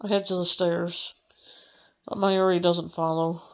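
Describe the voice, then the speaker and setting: Low-voiced woman, casual game playthrough. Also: little pitch movement, a little slow, highs cut off. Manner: informal, rambling. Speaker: gaming streamer. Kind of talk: reading a visual novel aloud with casual asides. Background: game music.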